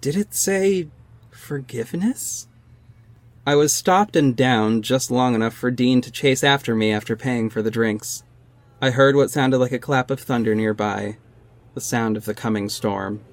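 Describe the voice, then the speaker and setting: A man's voice: mid-range voice, a little slow, natural voice. An actor playing an earnest masked superhero who narrates his own adventures in an audio drama. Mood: earnest, dramatic. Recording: music under the voice. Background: music.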